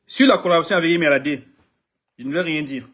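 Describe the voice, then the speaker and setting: A husky, slightly high-voiced middle-aged man from Cameroon, native English speaker, delivering an impassioned address in French. He stresses his points, repeats phrases and trips over words as he defends his artist. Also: fast talker, fairly bright tone. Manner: emphatic, repeats himself, stumbles over words. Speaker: artist manager.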